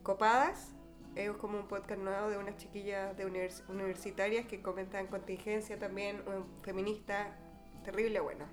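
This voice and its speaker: A woman's voice: medium pitch, level tone, normal speed. Female co-host, Chilean accent, medium register, casual chat over faint music. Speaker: podcast co-host.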